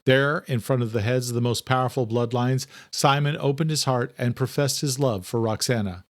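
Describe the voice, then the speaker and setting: A man giving a steady, scripted narration of a horror audiobook. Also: medium pitch, normal speed, dry recording, studio quality.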